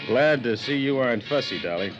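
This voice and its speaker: An actor playing a weary, wisecracking hard-boiled police sergeant in a 1950s radio detective drama, with gruff, slangy tough-guy talk. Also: medium pitch, animated, a little fast, natural voice. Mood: needling. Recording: dull old radio recording with music.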